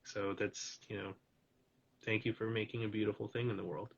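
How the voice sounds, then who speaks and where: A man's voice: on the low side, level tone, moderate pace, gravelly, husky, highs cut off. A tired man, losing his voice, hosts a casual live stream chat, rambling loosely with lots of fillers. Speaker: host. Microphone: video call.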